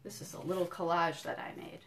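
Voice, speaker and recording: A woman doing a children's storytime, reading a picture book in deliberate phrases and explaining things simply to young listeners. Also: fairly low pitch, steady tone, background noise. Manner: addresses young listeners directly with questions and encouragement. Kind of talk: children's storytime read-aloud with friendly asides.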